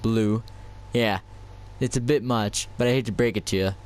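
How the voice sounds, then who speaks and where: A man voicing a quiet, nostalgic former soldier while reading a story aloud. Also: lowish voice, steady tone, moderate pace, close to an everyday voice. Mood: wistful. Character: soft-spoken male ex-soldier, nostalgic.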